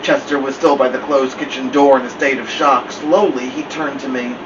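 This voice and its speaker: A man reading a children's chapter book aloud in an expressive storytelling style. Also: medium pitch, normal speed. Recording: dull, distant mic, steady air-conditioning-like noise.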